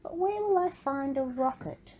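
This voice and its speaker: A woman's voice: high-pitched, animated, normal speed, dark tone, a little hiss or hum, voice pushed up. A woman voicing a lonely, hopeful little male tortoise in a storybook. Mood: wistful, hopeful.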